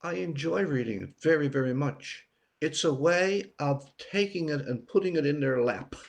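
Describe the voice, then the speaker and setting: A man's voice: a little high, animated, normal speed, creaky. An older man, an author talking plainly in short, emphatic phrases in an interview. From Newfoundland, Canada. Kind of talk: conversational interview.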